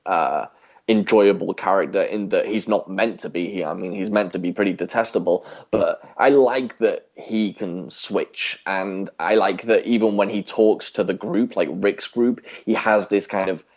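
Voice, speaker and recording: A man with a fairly low voice co-hosts a casual podcast. He speaks in an agreeable, slightly hesitant way, offering measured opinions in relaxed conversational phrasing. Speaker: podcast co-host. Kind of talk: casual podcast chat about a TV series.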